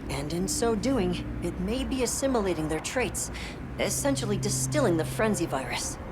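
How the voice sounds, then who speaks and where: A woman voicing a researcher in a game cutscene, explaining things in a measured, analytical way. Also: mid-range voice, very animated, heavy background noise, natural voice. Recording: game cutscene with loud music.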